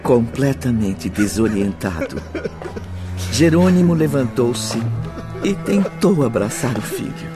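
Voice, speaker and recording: A man's voice: medium pitch, big pitch swings, slow, music in the background. A man narrating a radio drama as a solemn storyteller, in dramatic scripted style. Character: solemn narrator of a spiritist tale.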